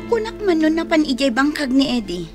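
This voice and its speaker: An actress playing an excitable, aggrieved farmer's wife, expressive, with an Ilocano Filipino accent in a radio drama. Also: female voice, sits high, brisk, music in the background. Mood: puzzled.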